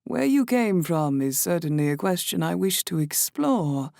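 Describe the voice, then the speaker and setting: A man voicing a silver-haired older woman innkeeper in an audiobook, amused and mysterious, with a slow, hushed, purring delivery. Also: a little high, very animated, gravelly, no echo, clean studio sound, voice pushed up, full character voice. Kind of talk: audiobook character voice.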